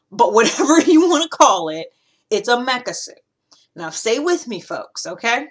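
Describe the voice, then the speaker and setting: A woman hosting a video series, talking casually and explaining ideas in an animated, conversational way with emphatic asides. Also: on the high side. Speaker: host of a personality-typing video series. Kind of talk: casual explanatory monologue to camera.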